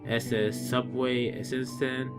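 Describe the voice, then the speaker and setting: Obedient young game maid played by a man, apologetic, halting and deferential, music underneath. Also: medium pitch, flat delivery, lightly acted. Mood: modest. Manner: hesitant, deferential, stumbling.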